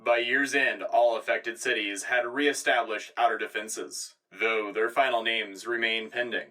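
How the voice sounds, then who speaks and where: A man's voice: lowish voice, steady tone, a little slow, pushed and bright, recorded from a distance, rough recording. A man playing an earnest, patriotic wartime radio anchor, delivering a solemn, declamatory scripted newscast. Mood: solemn, rousing. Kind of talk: scripted propaganda newscast narration.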